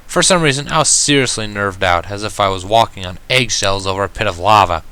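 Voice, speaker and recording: A man giving an expressive amateur narration of a fan fiction story. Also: fairly low pitch, brisk, clean tone.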